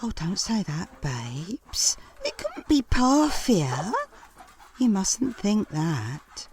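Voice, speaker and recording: An actress playing a sly wife in a comic audio drama, sweetly coaxing and confiding with insinuation. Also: female voice, mid-range voice, huge pitch swings, very slow, exaggerated voice. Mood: conspiratorial.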